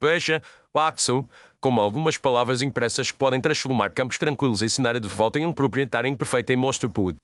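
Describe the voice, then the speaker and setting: An actor playing an analytical, authoritative detective with a formal, didactic manner in an audio mystery story. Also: male voice, mid-range voice, quick pace, fairly bright tone, no room sound, clean studio sound, natural voice. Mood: composed, didactic.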